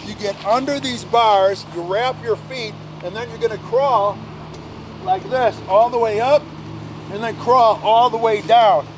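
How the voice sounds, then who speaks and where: A man's voice: high voice, measured pace, a little hiss or hum, low-quality recording. A man in his forties, a fitness coach demonstrating a workout outdoors at a park playground, talking energetically with casual slang.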